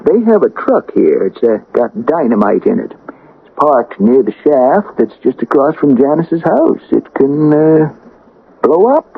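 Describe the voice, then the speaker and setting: An actor in an old-time radio drama playing a scheming, persuasive small-town councilman who pauses as he plots. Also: a little high, sing-song, vocal fry, breathy edge, exaggerated voice. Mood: calm, scheming. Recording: tinny, noisy 1980 radio broadcast recording.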